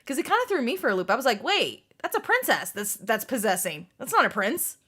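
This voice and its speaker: A woman's voice: a little high, very animated, fairly quick, sharp tone, a little hiss or hum. A woman hosting a group book discussion, talking casually and informally, often saying "like".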